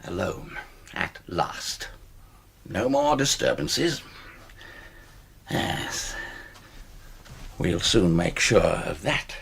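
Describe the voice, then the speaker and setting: An actor playing an irritable, brooding British colonel with a gravelly voice in a radio drama. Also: male voice, deep voice, animated, very slow, pauses often, fairly bright tone, slight background noise, heavily acted. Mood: brooding, sinister. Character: brooding, irritable old colonel with sinister contempt. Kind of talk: slow theatrical radio acting. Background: music and ticking clock.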